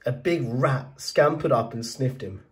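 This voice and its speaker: A man narrating a children's storybook as a teacher, reading in an expressive, dramatised way. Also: mid-range voice, unhurried, recorded from a distance.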